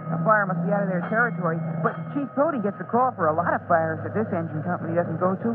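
Earnest, folksy lad, high-pitched and excitable, on tinny old radio. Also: male voice, quick pace. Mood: eager, polite. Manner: eager, folksy, exclamatory. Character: earnest, excitable polite boy who dreams of firefighting. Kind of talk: old radio serial acting. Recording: tinny, noisy vintage radio recording.

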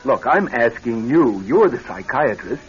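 An actor in a radio drama playing a nervous young married executive troubled by bad dreams, speaking in a halting, earnest way. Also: male voice, medium pitch, big pitch swings. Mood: anxious, defensive. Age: young adult. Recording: dull band-limited old radio recording.

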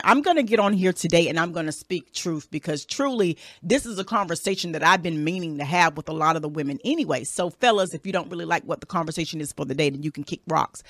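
A woman's voice: fairly low pitch, no room sound, clean studio sound. A woman in her thirties hosting a solo podcast, giving blunt, profane advice and often tacking "okay?" onto her points. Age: 30s. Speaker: podcast host. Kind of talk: casual advice monologue.

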